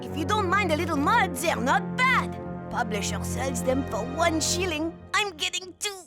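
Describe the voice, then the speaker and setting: A brash little cartoon street urchin. The voice is extremely high and bouncy, playful and cheeky, with loud music behind. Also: female voice, very animated, moderate pace. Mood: hawking, brash. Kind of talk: animated series acting.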